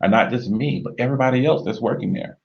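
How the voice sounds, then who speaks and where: A man co-hosting a marriage talk show, speaking in a measured, reflective way and often murmuring agreement. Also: medium pitch, creaky, highs cut off. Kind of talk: earnest conversational discussion.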